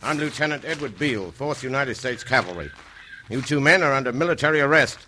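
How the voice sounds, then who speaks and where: An actor playing a stern, brisk United States cavalry lieutenant in an old-time radio western. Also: male voice, medium pitch, expressive, pushed and bright. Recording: noisy vintage radio recording.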